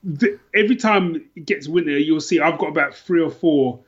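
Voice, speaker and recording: A man's voice: fairly high pitch, moderate pace, breathy edge, highs cut off. A middle-aged man chatting casually as a podcast guest, enthusiastic and rambling, often repeating himself. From London, England. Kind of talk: casual chat, telling personal stories.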